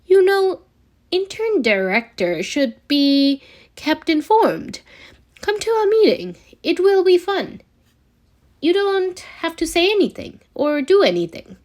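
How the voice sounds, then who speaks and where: A woman's voice: extremely high pitch, very animated, takes it slowly, darkish tone, pitched above the performer's own voice, full character voice. A woman voicing an encouraging, breezy male genius inventor in an audiobook, with a coaxing, informal manner. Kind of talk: audiobook character dialogue.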